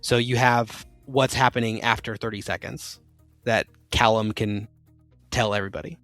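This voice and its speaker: A man's voice: on the low side, steady tone, normal speed, fairly bright tone, no echo, soft background music. Man running a tabletop game. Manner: explaining rules, with restarts. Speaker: game master.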